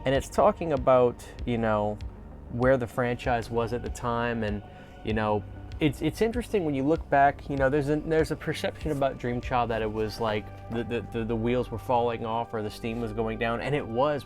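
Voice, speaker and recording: A man hosting a fan video, talking casually and enthusiastically in an informal vlog style. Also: mid-range voice. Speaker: host of a horror fan video channel.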